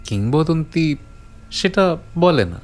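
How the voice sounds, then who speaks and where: A man narrating a horror audio story as a brooding storyteller, deliberate and suspenseful with dramatic pauses. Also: a little high, very animated. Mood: grave, eerie. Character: brooding male storyteller recounting eerie legends to his friend.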